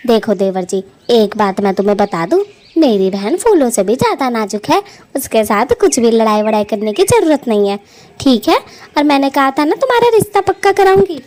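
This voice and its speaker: A woman's voice: on the high side, darkish tone, close to an everyday voice. A woman voicing a bossy, doting elder sister bird in a cartoon story, with a coaxing, folksy, emphatic manner. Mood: protective scolding.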